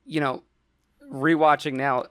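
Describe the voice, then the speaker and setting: A young adult man co-hosting a casual podcast, teasing his partner with deadpan jokes. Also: fairly high pitch, a little slow, clear, resonant tone, dry recording, clean studio sound. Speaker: podcast co-host. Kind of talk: casual chat.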